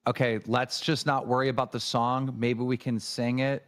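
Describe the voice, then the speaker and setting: A man's voice: medium pitch, little pitch movement, moderate pace. A man taking part in a live online show, chatting casually. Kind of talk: casual live-stream chat.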